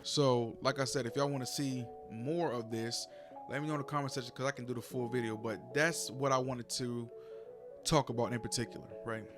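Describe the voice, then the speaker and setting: A man's voice: mid-range voice, fairly even intonation, a little fast, music in the background. A man hosting a reaction video, explaining his views in a casual, lecturing way and often repeating himself. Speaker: commentary host reacting to a clip.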